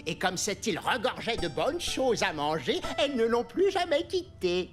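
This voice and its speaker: A woman voicing an excitable, timid pirate crewmate in a French children's cartoon, with a theatrical, exclamatory delivery. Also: mid-range voice, normal speed, faint music behind, natural voice. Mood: storytelling. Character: Roberto, excitable timid pirate crewmate proud of his ancestor.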